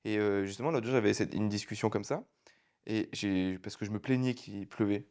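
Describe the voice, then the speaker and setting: A man's voice: lowish voice, steady tone, darkish tone. A young man co-hosting a casual podcast, joking, rambling and teasing his friend, talking into a handheld mic. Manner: jokey, rambling, quick to tease. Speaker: podcast co-host. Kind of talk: casual chat.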